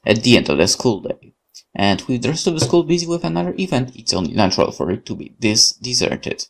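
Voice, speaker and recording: Polish-native man, let's play host, reads a horror story game aloud, gravelly, lively intonation, corrects himself. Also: mid-range voice, brisk. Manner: lively reading with self-corrections and asides. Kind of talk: reading a horror visual novel aloud.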